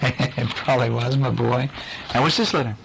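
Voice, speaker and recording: A man's voice: mid-range voice, normal speed, creaky. A man reading a picture book aloud to young children, chatting and quizzing them, acting out sound effects playfully in a noisy home recording.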